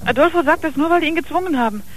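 A woman's voice: high-pitched, rapid-fire. An actress in a radio crime drama playing a desperate, drug-addicted young girlfriend, pleading urgently over the telephone. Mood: anxious, insistent.

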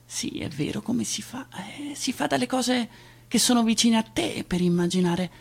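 An actress playing a patient, coaxing guide in a theatrical radio play, breathy and repeating her phrases. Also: on the high side, animated, normal speed, heavily acted. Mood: encouraging, searching. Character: patient guiding voice coaxing a newborn through imagining her life.

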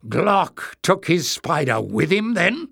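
Man acting a big, gruff, growling bruiser. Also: medium pitch, a little slow, a bit bright. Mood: gruff commanding. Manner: thundering, snapping, commanding. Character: big gruff man, quick-tempered and contemptuous. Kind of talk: acted character voice in audiobook narration.

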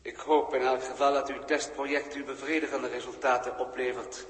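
A man's voice: mid-range voice, little pitch movement, a little fast, lightly acted. Courteous alien official played by a man, echoing. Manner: formal, polite, precise. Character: courteous alien official, deferential former pupil, measured. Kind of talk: 1960s science-fiction radio play acting. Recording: 1960s radio recording, echoing hall reverb.

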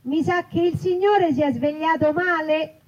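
Woman reading as a brash, shrill-pitched queue lady. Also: a little slow, darkish tone, muffled recording. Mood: indignant. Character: brash, outspoken woman waiting in shop queue.

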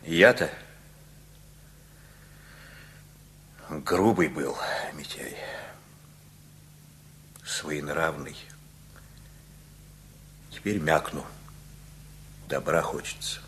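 An actor in a radio play playing an aging, once gruff father, now softened and wistful, who rambles reflectively in folksy phrases. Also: very deep voice, extremely slow, pauses often, slight background noise, exaggerated voice. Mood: softened, confessional. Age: older.

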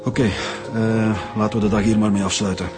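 An actor playing a demanding, formal middle-aged police chief, probing and authoritative, with a Flemish accent in a radio drama. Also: male voice, fairly low pitch, flat delivery, fast, natural voice. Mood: formal, measured. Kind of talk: naturalistic radio drama dialogue. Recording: radio drama with music bed.

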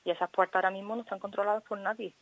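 A woman's voice: medium pitch, fairly even intonation, very fast, fairly bright tone, no echo. A woman with a mid-range voice, interviewed by phone. She talks spontaneously and earnestly as a school parent, insisting and repeating herself as she makes her case.

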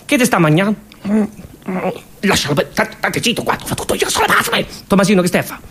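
A man's voice: sits high, huge pitch swings, fairly quick, fairly bright tone, slight background noise, full character voice. A man playing an exasperated, nagging Roman mother who stutters and repeats bossy orders at a small son, in a comic stage monologue. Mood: frantic, nagging.

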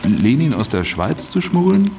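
An actor playing a glib, eager political fixer in a German radio drama, breezy, colloquial and assured. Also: male voice, mid-range voice, big pitch swings, measured pace, creaky, warm tone, faint music behind.

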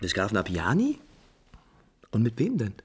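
A husky-edged young prince in a 1950s radio drama. He is imperious and lovesick, speaking emphatic with widely swinging pitch and theatrical diction. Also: wildly swooping pitch, normal speed, exaggerated voice. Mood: restrained, probing. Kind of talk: 1950s German radio play acting.

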